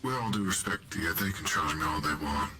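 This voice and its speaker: A man roleplaying his character in a tabletop game, gruff and blunt. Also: deep voice, expressive, quick pace, pushed and bright, soft background music. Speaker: player character.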